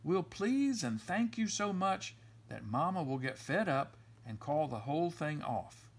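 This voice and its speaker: A man's voice: a little high, big pitch swings, a little slow, husky, exaggerated voice. A man voicing a scheming young boy bear cub from a children's storybook.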